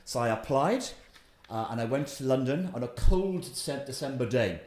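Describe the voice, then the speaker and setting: A Welsh-accented man in his fifties recounts his career as an interview guest, with animated, emphatic delivery. His voice sits at an ordinary middle pitch. The recording carries a noticeable roomy echo from a room in his home. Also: normal speed. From Wales. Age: 50s. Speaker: investment guest. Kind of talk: storytelling in an interview.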